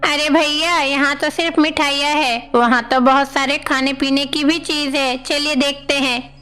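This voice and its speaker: A woman's voice: high voice, fairly even intonation, unhurried, sharp tone, faint music behind. A woman voicing an innocent, eager young divine boy in devotional storybook dialogue. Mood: delighted. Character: young divine brothers, eager hungry little boys.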